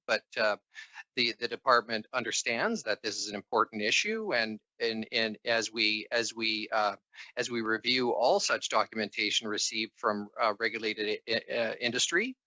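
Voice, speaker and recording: A man's voice: medium pitch, pushed and bright, no echo, poor audio. A man, a government official, giving formal committee testimony in a careful, deferential, bureaucratic way with hesitant, repetitive phrasing. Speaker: assistant deputy minister, government official.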